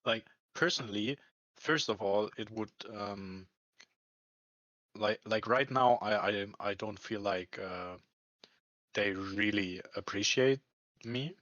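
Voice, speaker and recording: Low-voiced man, halting, in an echoey room. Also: fairly bright tone, dull audio. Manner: hesitant, halting, searching for words. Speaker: employee talking about a work contract. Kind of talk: casual conversation.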